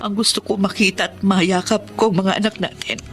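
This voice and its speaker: A woman's voice: mid-range voice, fairly even intonation, fairly quick, lightly acted. A woman playing a worried, tender middle-aged Filipino wife and mother in a radio drama, pleading and emotional, with a Filipino accent. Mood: sad, longing. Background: music.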